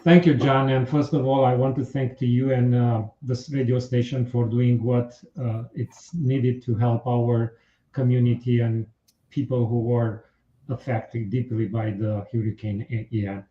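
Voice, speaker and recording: A man with a Romanian accent, a political candidate speaking earnestly in a radio interview, with halting, measured phrasing. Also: mid-range voice, level tone, roomy sound, highs cut off.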